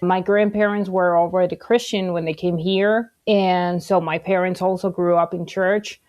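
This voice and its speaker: A woman's voice: fairly low pitch, fairly even intonation, moderate pace, darkish tone, lo-fi sound. A woman in her twenties with an Argentine Spanish accent, chatting casually as a podcast guest and laughing often. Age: 20s. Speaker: podcast guest, church leader. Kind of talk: casual interview storytelling.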